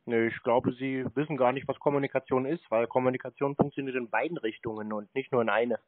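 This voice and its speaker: A man with a mid-pitched voice chats casually on a phone-like recording. He is deadpan and curt, with a mocking edge to his short answers. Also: fast talker. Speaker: scambaiter taking scam calls. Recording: phone-like sound, faint noise, clicks.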